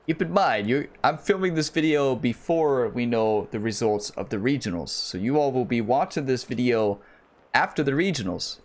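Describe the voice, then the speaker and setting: A chatty, enthusiastic man in his thirties talks to his viewers while playing a golf video game, rambling from one thought to the next. He speaks into a headset mic at home, in a voice of ordinary middle pitch for a man. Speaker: gaming channel host. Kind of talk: casual gameplay commentary. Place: home gaming room.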